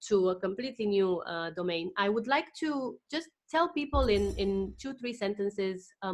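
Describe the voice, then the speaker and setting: A woman hosting a podcast interview, introducing her guest enthusiastically and talking with frequent fillers. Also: medium pitch. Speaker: podcast host.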